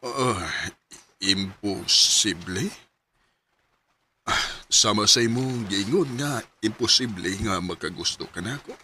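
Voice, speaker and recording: A man's voice: fairly low pitch, slow pace, bright, forceful tone, slight background noise. Hesitant lovelorn Cebuano man. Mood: tender, nervous. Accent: Cebuano Filipino. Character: lovelorn Filipino man, loyal, shy and earnest. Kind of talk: Bisaya radio drama acting.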